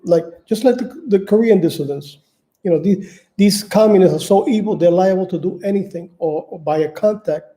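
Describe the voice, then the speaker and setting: A man's voice: sits high, warm tone. A man from New York talking casually and unscripted to his viewers, often stumbling and repeating words as he hunts for what to say. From Manhattan, New York, United States. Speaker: channel host appealing for donations. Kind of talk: casual unscripted livestream talk.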